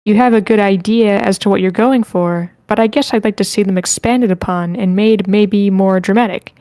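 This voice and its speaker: A woman's voice: medium pitch, moderate pace, dark tone, no room sound. Female host, online table read, mid-range voice, dry close sound, casual delivery with read-aloud comments. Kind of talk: casual moderating and reading chat comments aloud.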